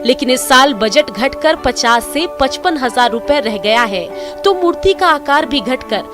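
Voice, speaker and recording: A woman delivers a scripted news voice-over. Her voice sits high for a woman, and music plays clearly behind her words. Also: expressive, a little slow.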